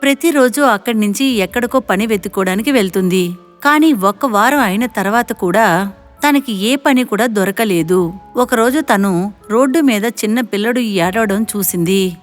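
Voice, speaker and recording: A woman delivers scripted story narration as the narrator. Her voice sits at a middle pitch, and music plays clearly behind her. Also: normal speed.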